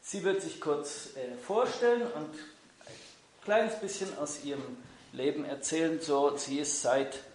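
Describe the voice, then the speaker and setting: A man's voice: a little high, takes it slowly, darkish tone, a little hiss or hum. A man leading a church gathering and interpreting a guest's words, friendly and hesitant, with frequent filler sounds. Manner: friendly, hesitant with frequent ums, switching languages. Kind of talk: church announcement and live interpreting. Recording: echoey hall.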